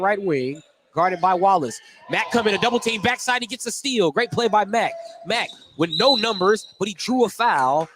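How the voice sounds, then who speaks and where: A man with a slightly high voice calls a live basketball game as the play-by-play announcer. He speaks in clipped running descriptions. Clear gym noise, clicks and ball bounces sit behind him. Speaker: play-by-play radio commentator and lead host. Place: indoor school gymnasium during a game. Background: loud game noise, bouncing basketball.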